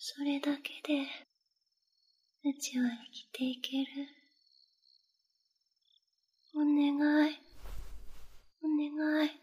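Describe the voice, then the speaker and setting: A young woman with a Kansai accent voicing a clingy, possessive, lovesick young woman in a breathy close whisper for an ASMR roleplay. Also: sits high, level tone, takes it slowly, a little mellow. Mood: pleading.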